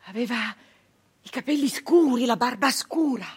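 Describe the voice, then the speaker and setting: An actress playing an embittered, wounded brigand woman of the nineteenth century in a theatrical monologue, speaking in halting, emphatic short phrases with a husky voice. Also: on the high side, animated. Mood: haunted, hushed. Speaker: stage actress.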